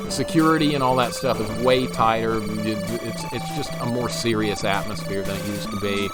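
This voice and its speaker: A man in his forties chatting casually with his viewers while playing a retro game, laughing easily and stumbling over repeated words. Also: on the low side. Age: 40s. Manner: chatty, self-deprecating, quick laughs, repeats words when excited. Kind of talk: casual live-stream chat while playing. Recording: game music playing underneath. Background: game music.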